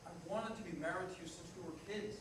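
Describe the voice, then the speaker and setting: A man's voice: sits high, quick pace, lightly acted. Echoey distant recording, noisy, a male actor as restless blunt ex-fiancé, stage dialogue. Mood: earnest, frustrated. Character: restless, blunt ex-fiancé from an Italian-American family. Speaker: actor in a staged play reading. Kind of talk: staged reader's theater dialogue. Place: live staged reading in a reverberant room.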